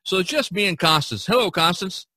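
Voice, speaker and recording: A man's voice: high voice, lively intonation, fairly quick, sharp tone, no echo. An American man talking casually as the host of a podcast.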